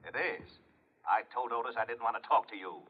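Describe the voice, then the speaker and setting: Man as an irritable police lieutenant, husky, fast-talking, brusque, muffled vintage radio drama. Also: medium pitch, close to an everyday voice. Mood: exasperated. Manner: brusque, exasperated. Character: irritable, harried male police lieutenant, sarcastic. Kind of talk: 1940s radio drama acting.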